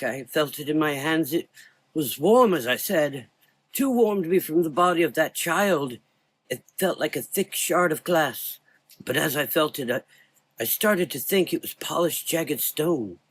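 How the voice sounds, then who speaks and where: A man voicing a frightened, guilt-ridden blind old doctor in a gothic audio drama, anguished and halting as he talks. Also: fairly high pitch, a bit bright, no room sound. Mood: tense, awed.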